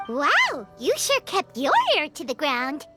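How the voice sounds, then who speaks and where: A tiny fairy sidekick in a video game, with an extremely high, childlike voice. The delivery is excitable and very animated, full of exclamations and curiosity, over clear background music. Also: female voice, takes it slowly, heavily acted. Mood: impressed. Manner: exclamatory, chatty.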